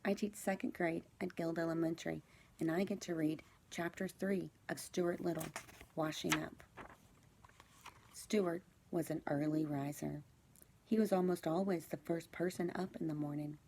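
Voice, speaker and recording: A woman teacher reading a children's storybook aloud, phrasing it clearly and expressively for young listeners. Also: sits low, steady tone, moderate pace, background noise.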